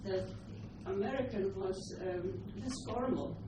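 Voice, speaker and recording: A woman whose first language is Polish, commenting from the audience at a seminar, hesitating and filling pauses as she talks. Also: medium pitch, very echoey, recorded from a distance, noisy background. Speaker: audience member.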